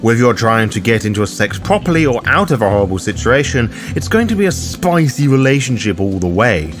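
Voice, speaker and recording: A man's voice: mid-range voice, sing-song, a little fast, over music. A man hosting a game guide, reading scripted narration in an instructive way that speaks straight to the listener. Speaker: host of a roleplaying game guide.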